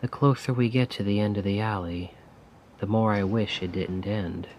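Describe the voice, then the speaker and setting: A soft-spoken man playing a melancholy, self-doubting poet in an ASMR roleplay, musing wistfully in formal, poetic words with a breathy voice. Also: on the low side, steady tone, normal speed, slight background noise, close to an everyday voice. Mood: wistful, tender.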